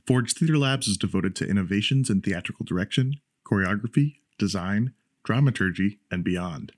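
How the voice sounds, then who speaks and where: Male announcer, slightly low voice, scripted promotional narration, very dry close sound. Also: normal speed, no room sound. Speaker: theater company announcer.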